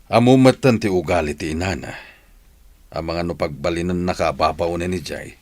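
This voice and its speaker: A man's voice: deep voice, moderate pace, dry recording, natural voice. A man with an Ilocano accent playing a kindly, supportive older uncle in a radio drama. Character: kindly, supportive older uncle raising his nephew and niece.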